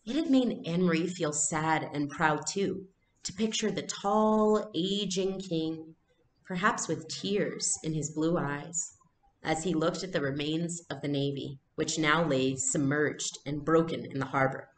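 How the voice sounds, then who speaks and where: Woman, low voice, expressive teacher read-aloud, roomy echo, faint noise. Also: a little slow. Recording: echoey room, faint background noise.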